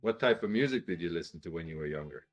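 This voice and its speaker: A male interviewer asks calm, direct questions in a low voice. Faint music plays quietly behind him. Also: fast talker.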